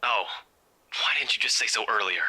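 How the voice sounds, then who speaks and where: A man acting a composed, guarded young man in a visual novel, with a husky voice and a controlled, dry delivery. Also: fairly low pitch, expressive, moderate pace, sharp tone, heavily acted. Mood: concerned, chiding.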